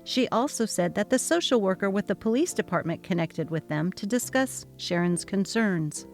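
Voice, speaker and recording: Brief: woman narrator, mid-pitched, dramatic storybook reading over faint music. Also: lively intonation, moderate pace. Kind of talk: dramatic reading of text messages.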